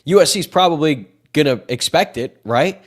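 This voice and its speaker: A man's voice: on the high side, animated. A man with a stuffy, congested nose from a cold, hosting a live sports podcast, chatty and opinionated as he answers chat questions. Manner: chatty, opinionated, quick asides. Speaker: podcast host.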